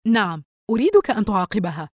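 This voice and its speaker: A woman's voice: mid-range voice, big pitch swings, fast talker, dry recording, clean studio sound. A woman voicing a stern, cold, fed-up mother who gives curt commands in a dramatised audio story. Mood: indignant.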